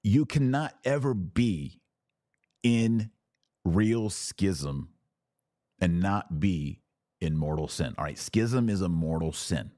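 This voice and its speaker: A man's voice: deep voice, expressive, slow, dark tone, no room sound, clean studio sound. An American man hosting a podcast, giving casual religious commentary and answering listeners in an emphatic, teacherly way. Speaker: podcast host.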